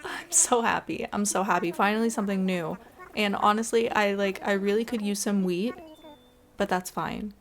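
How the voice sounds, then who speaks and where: A woman giving casual, chatty and expressive voice-over commentary as she plays a video game. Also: medium pitch, normal speed. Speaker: gaming video creator. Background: background music.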